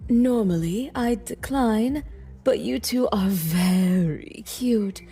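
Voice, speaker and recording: Woman playing a slow, sultry demon hostess. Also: a little high, expressive, mellow, husky, soft background music, exaggerated voice. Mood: teasing, seductive. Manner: teasing, self-assured, leisurely and sultry. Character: flirtatious demon woman, boss of a lavish lust city. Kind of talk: voice acting for a game mod cutscene.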